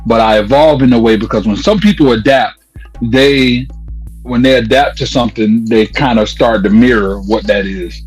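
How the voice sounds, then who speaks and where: A man's voice: medium pitch, moderate pace, breathy edge, low-quality recording. A man talking casually in an interview, slangy and conversational, telling stories as a radio host. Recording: music playing behind the voice. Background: music.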